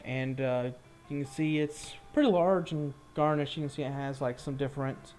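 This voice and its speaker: A man narrating an improvised tabletop game as game master, halting as he searches for words. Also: mid-range voice, steady tone, darkish tone, faint music behind.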